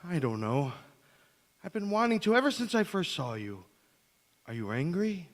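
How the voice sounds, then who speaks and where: A man playing a smitten, fickle young suitor in a radio drama, with a halting, earnest delivery. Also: high-pitched, big pitch swings. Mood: ardent. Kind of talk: stage radio drama acting. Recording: echoey church hall.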